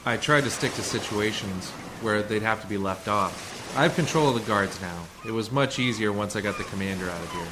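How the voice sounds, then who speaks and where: A man playing a calm, assured undercover rescuer in a sci-fi radio play, speaking in a measured, explanatory way. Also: on the low side, lightly acted. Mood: calm, explaining. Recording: noisy community radio drama recording.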